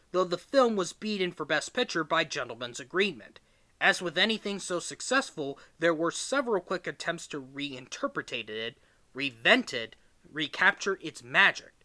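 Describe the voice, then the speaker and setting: A man narrates a written text in a bright, forceful voice. His pitch swings in a lively way, and he leans on words with expressive emphasis as he reads aloud. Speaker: reader, narrator. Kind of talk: scripted narration, reading a book aloud.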